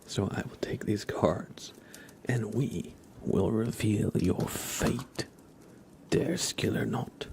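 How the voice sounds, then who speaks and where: A man hosting an ASMR livestream, chatting softly and casually in a rambling way, often slipping into a whisper. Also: on the low side, slow pace, creaky, noisy background. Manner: casual, rambling, hesitant with frequent "like". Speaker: livestream host. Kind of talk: soft-spoken ASMR livestream, casual chat.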